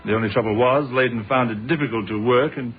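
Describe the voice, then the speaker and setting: A man's voice: mid-range voice, faint music behind, natural voice. Casting: male actor as patient, polite British detective inspector, vintage radio drama style, middle register, boxed-in lo-fi sound. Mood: reflective, recounting. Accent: British English. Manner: measured, polite, dryly unflappable. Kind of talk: old-fashioned British radio drama acting. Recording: narrow, phone-like old radio recording.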